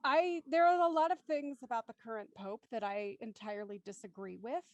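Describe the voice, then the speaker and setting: Thoughtful, articulate woman, higher voice, dry sound. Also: brisk, no room sound, muffled recording. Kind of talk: thoughtful panel discussion.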